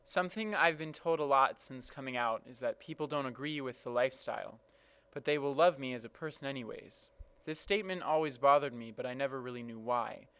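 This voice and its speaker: A man's voice: medium pitch, a little fast, a bit bright, smooth. A man reading a written personal reflection aloud. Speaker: reader of personal testimony.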